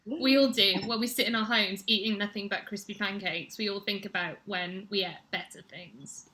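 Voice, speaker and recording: A woman's voice: mid-range voice, steady tone, moderate pace, pushed and bright, poor audio. A woman hosting a comedy chat show over a video call, laughing often as she talks.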